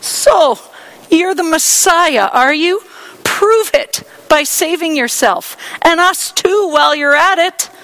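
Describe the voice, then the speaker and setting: Woman reader voicing a high, loud, sarcastic criminal. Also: animated, takes it slowly, exaggerated voice, rough recording. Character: bitter scoffing male criminal.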